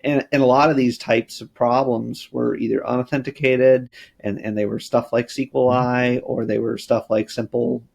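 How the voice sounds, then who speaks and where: Gravelly, husky-voiced man chatting casually. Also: mid-range voice, level tone, normal speed, darkish tone. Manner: thoughtful, loose, trails off and restarts. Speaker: podcast co-host and app tester. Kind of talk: casual panel chat.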